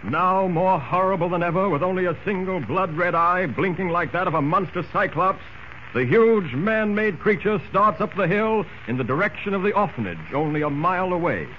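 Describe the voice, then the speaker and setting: A dull, faintly hissing vintage radio recording carries a male narrator with a fairly high, very animated voice. He tells the serial with dramatic urgency. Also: normal speed. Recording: muffled, hissy old radio recording.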